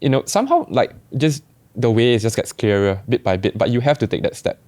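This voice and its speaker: A young man with a Singaporean accent, telling his story in a relaxed, reflective way with many restarts. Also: mid-range voice, brisk, no echo. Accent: Singaporean English. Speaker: barber guest. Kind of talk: casual podcast chat.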